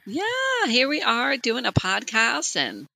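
A woman in her late forties co-hosting a podcast, telling personal stories in an animated, conversational way. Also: on the high side, slow pace, vocal fry, dry recording. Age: late 40s. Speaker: podcast co-host. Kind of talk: casual personal podcast chat.

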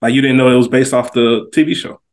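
A man's voice: medium pitch, fairly even intonation, fast. A man co-hosting a podcast, chatting casually in an emphatic, teasing, slangy way. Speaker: podcast co-host. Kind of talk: casual chat.

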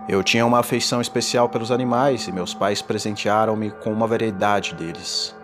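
A man playing a tormented condemned man in a gothic horror audio drama, confessing in a formal, theatrical and deliberate delivery. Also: lowish voice, steady tone, close to an everyday voice. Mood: wistful, grave. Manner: theatrical, deliberate, ornate diction. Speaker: narrator. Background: music.

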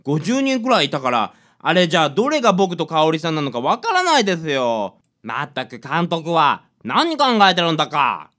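A man playing a hapless, excitable young sidekick in a radio drama. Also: fairly high pitch, moderate pace, few pauses. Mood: grumbling. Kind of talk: community radio drama acting.

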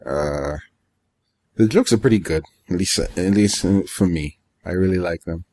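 A man's voice: deep voice, measured pace, warm tone. A man chatting casually as a podcast co-host, offhand in manner and slipping into acting out quoted remarks. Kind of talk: casual chat about games and manga.

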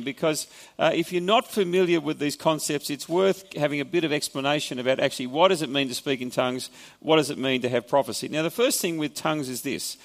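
A man's voice: a little high, gravelly, in a large hall. A man with an Australian accent preaching a sermon, explaining scripture in a conversational way with wry asides. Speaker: pastor. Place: church hall.

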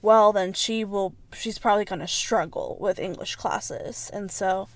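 A woman's voice: medium pitch, fairly even intonation, unhurried. A young woman whose first language is Spanish, chatting earnestly as a podcast co-host and telling stories from her own life. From United States. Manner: earnest, reflective, storytelling. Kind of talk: casual chat.

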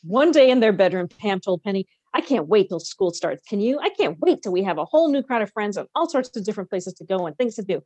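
A woman's voice: medium pitch, big pitch swings, fast, few pauses, warm tone, no echo. A woman co-hosting a podcast, expressively reading a novel aloud with casual, conversational asides.

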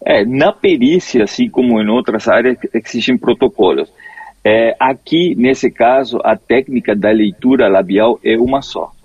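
Male forensic expert interviewee, husky, measured but halting, dry lo-fi remote sound. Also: mid-range voice, a little mellow, dry recording. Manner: hesitant, measured, restarts technical explanations. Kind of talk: explanatory interview answers.

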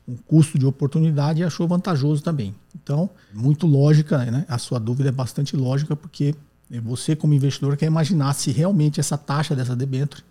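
Mellow-voiced man explaining patiently. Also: medium pitch. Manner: explains step by step, often tags sentences with "né?". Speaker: podcast host answering listener questions. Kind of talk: explanatory podcast talk.

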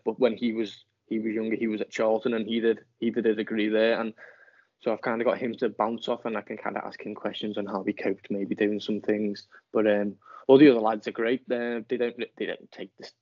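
A man's voice: on the low side, darkish tone, no room sound, muffled recording. A young man with a Liverpool accent, a student footballer chatting as an interview guest, often restarting his sentences. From near Liverpool, England. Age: young adult. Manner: halting, many restarts. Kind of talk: casual interview chat.

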